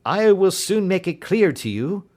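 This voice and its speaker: A man's voice: sits high, very animated, a little slow, darkish tone, pitched above the performer's own voice. A man putting on a gaunt, blunt maidservant. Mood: earnest. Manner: plain-spoken and earnest. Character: tall, gaunt woman servant, blunt and knowing.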